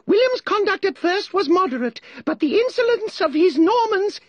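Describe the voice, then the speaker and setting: A man's voice: very high voice, sing-song, moderate pace, dry recording, pitched above the performer's own voice. A man voicing a self-important, easily offended mouse who lectures in a prim, pompous way. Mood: prim, testy.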